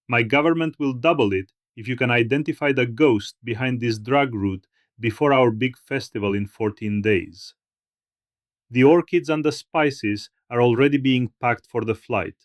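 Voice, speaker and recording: A man's voice: medium pitch, dry recording, natural voice. Solemn government minister played by a man, dry close sound. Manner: solemn, formal, deliberate phrasing. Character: grave, formal male Thai government minister. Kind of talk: scripted recorded message in a mystery drama.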